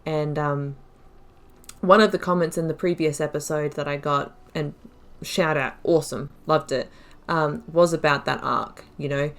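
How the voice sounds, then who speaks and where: A woman with a low-pitched voice narrates her own game playthrough. She is casual and chatty, musing out loud as she works through her thoughts. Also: fairly even intonation, moderate pace. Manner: chatty, musing, thinking aloud. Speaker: gaming YouTuber. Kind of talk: casual let's play commentary.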